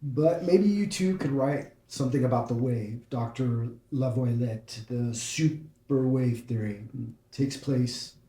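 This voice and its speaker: Guy doing a joking actor at a party, warm dark voice, roomy. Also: mid-range voice, takes it slowly, lightly acted. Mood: curious. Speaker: actor in a table read. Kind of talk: screenplay table read.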